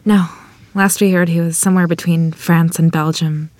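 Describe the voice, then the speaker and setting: A woman's voice: on the low side, fairly even intonation, moderate pace, darkish tone, no room sound, lightly acted. A woman playing a modest, polite rural wife and mother in a period table read, speaking with hesitant self-doubt. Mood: polite, guarded.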